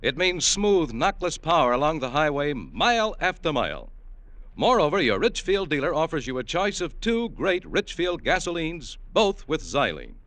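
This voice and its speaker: A fairly high, bright-voiced American man with animated, swooping intonation. He is a polished, emphatic 1950s radio announcer, close and dry with some music behind him. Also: moderate pace, no room sound.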